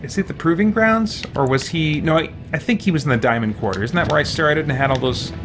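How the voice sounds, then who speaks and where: A man's voice: fairly high pitch, fast talker. A man streaming a video game, giving casual let's-play commentary and thinking aloud in rambling, chatty asides. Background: video game music.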